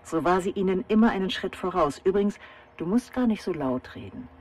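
An actress playing a secretive, bossy but kindly salon woman in a children's radio play, giving brisk, conspiratorial instructions. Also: fairly low pitch, expressive, a little mellow, husky. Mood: confiding.

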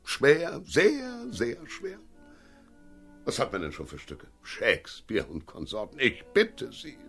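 An actor playing a vain, scornful, ageing theatre director in a radio play, pompous and rambling with theatrical emphasis. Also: male voice, mid-range voice, huge pitch swings, slow pace, a bit bright, soft background music, full character voice. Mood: pompous complaining.

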